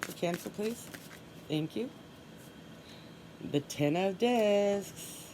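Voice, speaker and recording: A woman with a stuffy nose giving a solo tarot reading, calmly and softly repeating her requests as she draws cards. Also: medium pitch, very slow, slight background noise, rough recording. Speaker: tarot reader.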